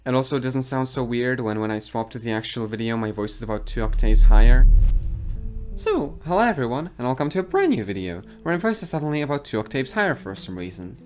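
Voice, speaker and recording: Sardonic YouTube commentator, lively pitch. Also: male voice, fast, soft background music. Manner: dry, mocking, deadpan asides. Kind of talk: sarcastic commentary. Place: garage.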